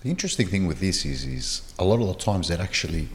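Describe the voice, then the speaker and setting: Male guest, very low but lively voice, explanatory, reverberant room. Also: fast, slight background noise. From Sydney, Australia. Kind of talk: casual podcast chat.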